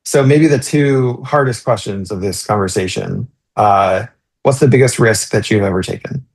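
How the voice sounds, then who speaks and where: A man's voice: on the low side, normal speed, a little mellow, slightly rough. A man hosting a podcast, asking brief, easygoing questions. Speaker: podcast host. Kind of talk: interview questions.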